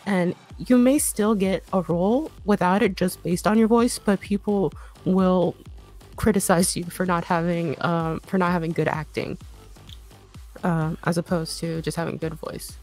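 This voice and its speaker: A woman's voice: lowish voice, fairly even intonation, a little slow, darkish tone, soft background music. A woman chatting casually as a co-host on a live stream, explaining things to viewers.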